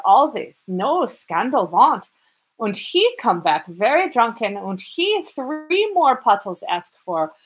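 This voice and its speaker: A woman voicing an excitable, fawning landlady who speaks broken English with a heavy German accent. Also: mid-range voice, voice pushed up. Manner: broken English, rapid and indignant.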